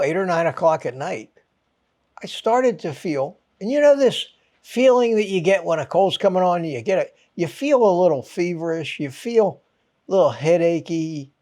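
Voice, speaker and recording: A man with a mild head cold rants at his viewers. He speaks in a fairly high voice and takes his time, hammering each point home in short, exasperated bursts, with a curse word now and then for emphasis. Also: a little mellow. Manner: emphatic, exasperated, punchy short phrases with pauses; swears for emphasis. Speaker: channel host. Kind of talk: ranting monologue to viewers.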